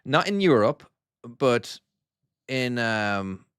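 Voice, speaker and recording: A man's voice: medium pitch, sing-song, extremely slow, fairly bright tone, smooth, no room sound, clean studio sound. Chatty Irish man streaming a game. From Ireland. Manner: chatty, self-deprecating, answers chat. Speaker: game developer streaming his own game. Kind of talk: casual live-stream commentary.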